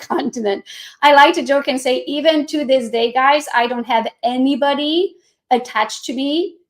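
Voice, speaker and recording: Chatty Hungarian-accented woman presenter. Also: a little high. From Romania. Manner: energetic, chatty, laughs often. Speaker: guest speaker. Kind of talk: live online presentation. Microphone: computer mic on video call.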